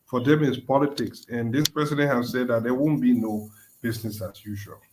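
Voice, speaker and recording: A man's voice: medium pitch, fairly even intonation, a little fast, background noise. Insistent man. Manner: emphatic, builds to insistent demands. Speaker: caller. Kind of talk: heated call-in debate.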